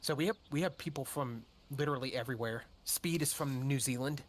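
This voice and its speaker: A man's voice: medium pitch, brisk. A man hosting a casual voice chat, steering the talk and asking the others questions. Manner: friendly moderator, asks others questions. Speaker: host.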